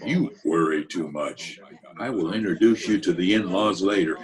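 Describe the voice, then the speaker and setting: A man's voice: low-pitched, normal speed, crowd noise, lightly acted. A man playing a confident, persuasive schemer with an announcer's manner in an amateur radio play. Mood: breezy persuasion. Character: confident smooth-talking male schemer playing master of ceremonies. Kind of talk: amateur old-time radio play acting.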